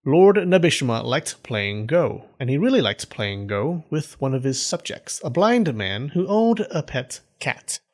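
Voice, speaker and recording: A man narrating a scripted folktale in a humorous storytelling style, with deadpan joking asides. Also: mid-range voice, a little slow, hardly any pauses. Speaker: storyteller, channel host.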